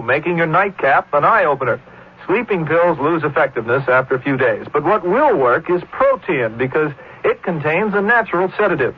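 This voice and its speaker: An American man delivers a scripted radio news feature. His voice is fairly high and a little husky. The sound is narrow and tinny, like a phone line.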